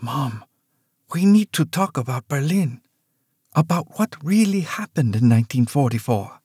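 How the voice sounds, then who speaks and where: A man's voice: fairly high pitch, lively intonation, measured pace, mellow, breathy edge, no echo, clean studio sound, pitched above the performer's own voice, heavily acted. A man voicing a shaken, pleading grown daughter. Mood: urgent pleading. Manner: shaky, urgent, pleading.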